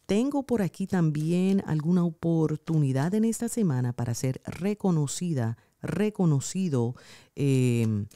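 A woman giving a weekly horoscope tarot reading indoors at a card table, speaking gently and affectionately to the viewer. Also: deep voice, no room sound. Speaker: tarot reader.

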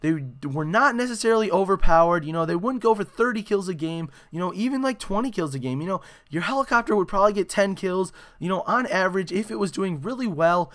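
Young guy, kinda hoarse from a concert, high voice, hyped game commentary w/ lots of "you know". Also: fast, hardly any pauses. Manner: excitable, heavy filler "you know". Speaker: gaming channel host. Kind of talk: gameplay commentary. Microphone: desktop microphone.

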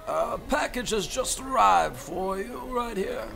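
A man's voice: sits high, expressive, a little slow, gravelly, fairly bright tone, soft background music, heavily acted. A man playing an obliging banquet hall attendant in an old-time radio drama. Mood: eager.

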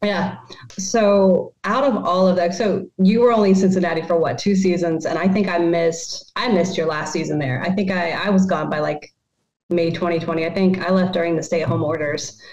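A woman's voice: fairly low pitch, fairly even intonation, normal speed, darkish tone. A woman in her thirties hosts a podcast from her living room on a headset. She tells stories animatedly and giggles often. The sound is slightly dull with a roomy echo. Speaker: podcast host. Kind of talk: casual storytelling chat.

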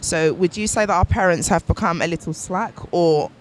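A woman's voice: fairly low pitch, normal speed. A woman from Africa, holding a handheld mic, asks rambling, chatty questions in a vox pop interview on a busy city street. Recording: outdoor street noise behind.

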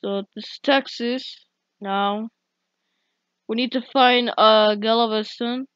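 A woman's voice: fairly high pitch, fairly even intonation, takes it slowly, clear, resonant tone, dry recording. A woman giving casual, off-the-cuff commentary while recording her computer screen. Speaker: video maker. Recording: dull, muffled home recording.

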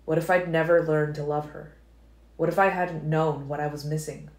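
A woman's voice: sits low, fairly even intonation, normal speed, darkish tone, natural voice. A woman reading as a brooding young male vampire, speaking in a tense, pained way. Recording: roomy echo.

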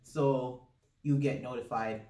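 A man's voice: mid-range voice, steady tone, slow, mellow. A man in his twenties co-hosting a vlog in a casual, chatty way. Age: 20s. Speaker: vlog co-host. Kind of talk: casual vlog intro chat. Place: indoor room against a plain wall. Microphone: camera a short distance away. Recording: echoey room, distant mic.

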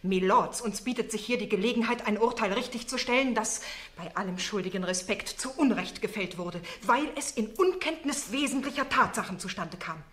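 A woman's voice: medium pitch, a little fast, a bit bright, natural voice. An actress playing an earnest, determined young woman barrister in a radio drama, speaking formally and insistently. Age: young adult. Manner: formal, insistent courtroom pleading.

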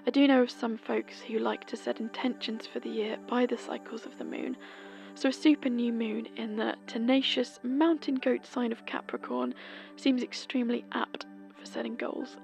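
Woman from Britain, podcast host, gentle whispery narration, gravelly fry, soft music underneath. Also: fairly high pitch, fairly even intonation, normal speed. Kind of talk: scripted seasonal almanac narration.

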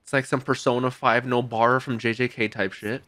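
A young man reacting out loud to an anime episode, exclaiming and swearing casually into a boom mic in a home streaming room. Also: level tone, a little fast. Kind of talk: excited reaction commentary. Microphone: boom mic with headphones. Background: anime soundtrack playing.

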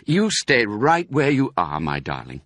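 A man's voice: mid-range voice, measured pace, no room sound, heavily acted. A man voicing a clever, determined father fox who gives orders to his family. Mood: gentle. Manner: animated, commanding, gleeful when triumphant.

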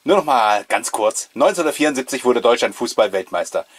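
A man's voice: mid-range voice, animated, fairly quick, husky. A man, giving chatty, playful, nostalgic commentary as the host of a casual video show. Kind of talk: casual, jokey commentary on an old mail-order catalogue.

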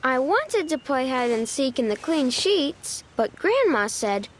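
A woman narrating a children's storybook in an expressive, deliberate way, stretching key words. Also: high-pitched, slight background noise.

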